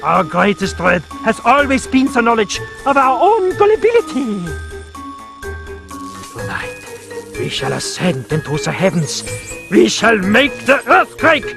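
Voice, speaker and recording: A man's voice: sits high, huge pitch swings, unhurried, vocal fry, music in the background, exaggerated voice. A man voicing an unhinged, grandiose mad scientist in a cartoon video game, bombastic and theatrical. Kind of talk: cartoon video game acting, horror film parody.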